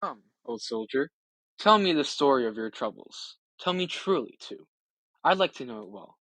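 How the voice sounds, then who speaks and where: A man's voice: mid-range voice, lively intonation, moderate pace, a bit bright, dry recording, poor audio. A man voicing a loyal old swineherd who grieves for his master, in an audiobook of an epic poem. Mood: gently curious.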